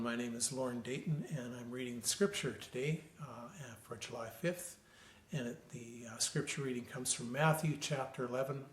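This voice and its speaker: A man serving as a lay scripture reader, speaking in a plain, straightforward church-reading style. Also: medium pitch. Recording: echoey room sound.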